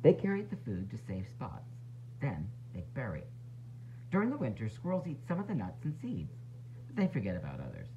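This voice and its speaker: Male children's read-aloud teacher, highly animated swoops, dramatic pauses, faint noise behind a homemade recording. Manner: expressive, with dramatic pauses and emphasis; addresses young listeners with questions and asides. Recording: dull, faintly noisy home recording.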